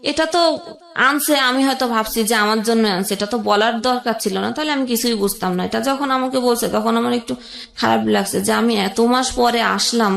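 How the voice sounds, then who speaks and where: A young woman tells her own painful story in a halting way, pausing and starting her sentences over. Her voice sits fairly high. The room around her is echoey. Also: gravelly, fairly bright tone. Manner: halting, with pauses and restarts. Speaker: guest telling her life story. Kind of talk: emotional personal storytelling.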